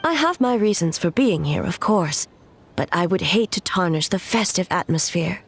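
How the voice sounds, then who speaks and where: A woman voicing an ageless, reserved female ruler in a video game, speaking in a formal, measured, stately way. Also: fairly low pitch, expressive, slightly rough. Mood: reflective.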